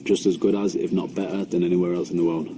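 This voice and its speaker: A man's voice: sits low, fairly even intonation, quick pace, heavy background noise. A man from England speaking as a fighter in a defiant, boastful way.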